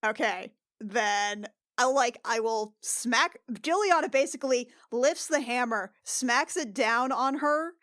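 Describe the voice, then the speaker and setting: A woman with a fairly high voice, recorded dry and without room sound. She talks casually as a tabletop player, improvising her narration in halting bursts and thinking aloud with the odd swear word. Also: a bit bright, no echo. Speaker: player in a tabletop RPG podcast.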